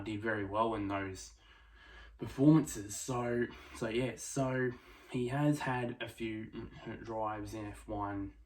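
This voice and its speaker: A man giving casual solo motorsport predictions, speaking quietly late at night, with many fillers and restarts. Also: lowish voice, low-quality recording. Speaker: F1 prediction vlogger.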